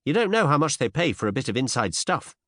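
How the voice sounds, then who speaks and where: A man's voice: mid-range voice, sing-song, fast talker, fairly bright tone, no echo, clean studio sound, voice pushed up. A man voicing a cheeky, bold young woman in an audiobook, pert and teasing, with eager curiosity and old-fashioned slang. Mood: coaxing. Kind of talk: audiobook character dialogue with period slang.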